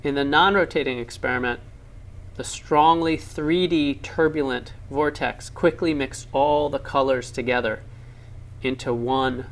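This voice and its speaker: A man narrating laboratory science demonstrations for an educational film, explaining with enthusiasm and emphasis. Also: mid-range voice.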